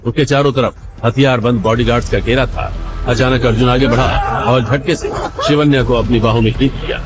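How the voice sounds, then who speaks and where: A man's voice: mid-range voice, fairly even intonation, a little fast. A man narrating a dramatic audio story in a scripted storytelling style. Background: background music.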